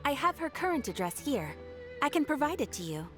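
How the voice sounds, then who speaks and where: Fairly high, formal, dutiful clerk. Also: female voice, sing-song, brisk. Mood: helpful. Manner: formal, courteous. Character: dutiful, formal, helpful young woman clerk who assists adventurers. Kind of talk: anime video game voice acting. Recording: music behind the voice.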